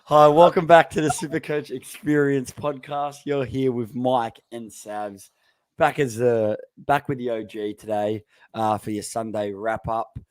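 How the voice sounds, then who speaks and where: Relaxed man, dry close sound. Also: mid-range voice, unhurried, no echo. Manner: relaxed, rambling, with many fillers. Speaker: podcast co-host. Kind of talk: casual sports podcast chat.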